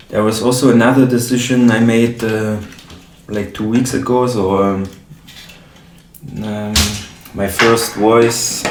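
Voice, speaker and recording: A man with a German accent talks casually while assembling his synth case, pausing often to search for English words. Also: on the low side, a little mellow, slight background noise. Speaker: modular synth hobbyist. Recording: roomy, mic far off.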